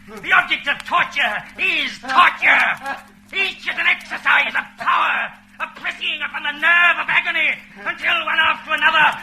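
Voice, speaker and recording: An actor playing a cold, fanatical party interrogator in a theatrical old radio drama, menacing and lecturing, given to ranting oratory. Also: male voice, extremely high pitch, pushed and bright, full character voice. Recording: noisy vintage radio drama recording.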